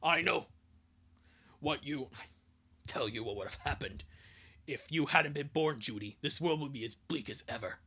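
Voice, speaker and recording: A man voicing a grave, wounded robot lover in a solemn, gravelly voice for a comic fan-fiction dub. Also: medium pitch, moderate pace, long pauses, pushed and bright. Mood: grave, imploring.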